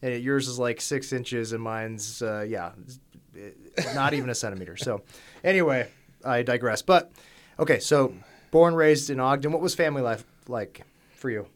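A man's voice: medium pitch, normal speed. Chatty, upbeat man in his forties. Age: 40s. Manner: upbeat, chatty, self-deprecating. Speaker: podcast host. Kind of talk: casual interview chat.

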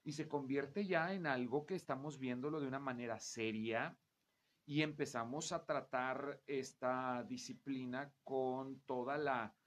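A man's voice: mid-range voice, fairly even intonation, measured pace. A man with a Mexican accent hosting a conversational interview in a chatty, explanatory and wordy way. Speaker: interview show host. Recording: clear background noise.

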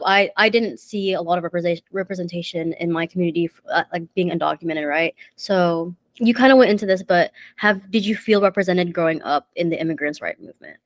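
A woman's voice: medium pitch, dry recording. A woman interviewer who asks her questions in a tentative, searching way. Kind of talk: casual interview.

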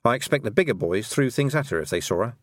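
A shrewd witch woman from a fantasy audiobook, played by a male narrator. She is brisk and dry-witted, firing off knowing questions and remarks with a lively lilt. The recording is close and dry. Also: mid-range voice, no echo, voice pushed up, lightly acted. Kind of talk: audiobook character dialogue.

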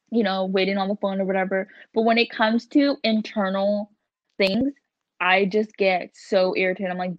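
A woman's voice: mid-range voice, no room sound. Frank young woman host, dry dull sound. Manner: relaxed and frank, with lots of listening murmurs. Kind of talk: casual chat. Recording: dry, slightly dull recording.

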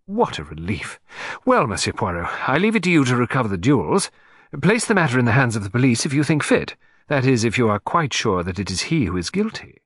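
A man puts on an excitable, fussy gentleman collector for an audiobook. He speaks in a fluttery, emphatic way, pitched up and swinging widely. The sound is dry and close. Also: fairly quick, husky, no room sound. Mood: relieved.

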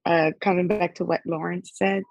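Husky, gravelly young woman. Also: fairly low pitch, flat delivery, moderate pace, darkish tone, muffled recording. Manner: hesitant, filler-heavy, earnest. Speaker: student panelist. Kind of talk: casual discussion.

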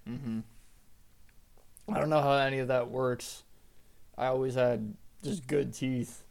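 A man's voice: medium pitch, steady tone, a little slow, gravelly, darkish tone. A young man co-hosting a podcast in casual chat, with a laid-back, teasing way of talking. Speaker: podcast co-host.

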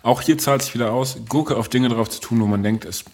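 A man's voice: mid-range voice, fairly quick, a little mellow. A man co-presenting a podcast in casual, humorous conversation.

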